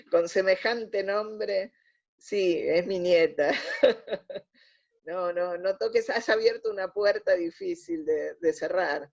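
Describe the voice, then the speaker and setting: A woman's voice: mid-range voice, expressive, slow, gravelly, pauses often, darkish tone. Older Buenos Aires woman, dull lo-fi recording. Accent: Buenos Aires Spanish. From Argentina. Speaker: interview guest. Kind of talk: conversational reminiscing in an interview. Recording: dull lo-fi audio.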